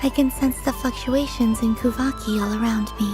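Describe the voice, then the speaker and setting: A woman voicing a serene, childlike moon goddess in a video game, speaking softly and literally in a dreamy way. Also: a little high, steady tone, natural voice. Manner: soft, slow, dreamy and literal. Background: game soundtrack music.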